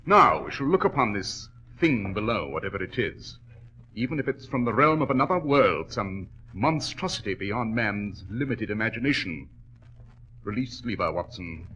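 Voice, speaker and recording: An actor playing an unflappable English detective in a 1940s radio drama, clipped, authoritative and theatrical. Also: male voice, fairly low pitch, big pitch swings, moderate pace, pushed and bright. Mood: grave, commanding. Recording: dull old-time radio recording.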